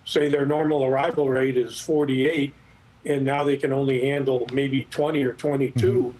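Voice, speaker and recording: A middle-aged or older man speaks as an expert guest on a news program. His husky, mid-pitched voice explains things in a measured, halting way. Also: level tone, dark tone, poor audio. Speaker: expert guest, former air traffic controller. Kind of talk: news interview commentary.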